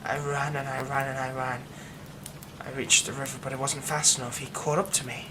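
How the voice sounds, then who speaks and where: A man voicing a haunted young man hiding a werewolf curse, speaking in a hushed, halting way that often drops to a whisper. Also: mid-range voice, level tone, noisy background, lightly acted. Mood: nervous, haunted. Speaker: ASMR roleplay performer. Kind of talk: ASMR horror roleplay.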